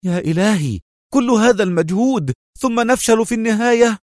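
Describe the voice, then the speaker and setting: An excitable fighter from an audio adventure story , acted by a male narrator. His voice jumps up in pitch and sounds tense and anxious, with exclaimed phrases in a dry recording. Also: a little fast, no room sound, natural voice. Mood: dismayed.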